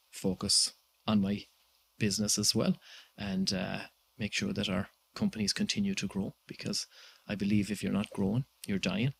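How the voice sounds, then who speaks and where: A man in his thirties with an Irish accent, giving measured interview answers with many pauses and fillers. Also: fairly low pitch, gravelly, husky. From Ireland. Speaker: guest entrepreneur.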